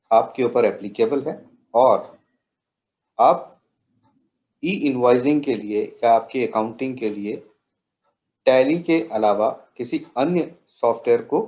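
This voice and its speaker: Indian man narrating a software tutorial. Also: medium pitch. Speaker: software trainer.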